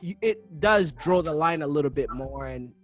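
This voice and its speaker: Man raised in Uganda, podcast host, higher than usual register; reflective and halting, restarting his thoughts as he goes. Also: lively intonation, faint music behind. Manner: thoughtful, searches for words, many restarts. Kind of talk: casual interview chat.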